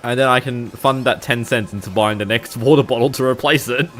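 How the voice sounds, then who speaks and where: A man's voice: medium pitch, fairly quick, fairly bright tone, soft background music. A young man with an Australian accent, rambling casually to his livestream viewers with frequent chuckles and fillers. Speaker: streamer.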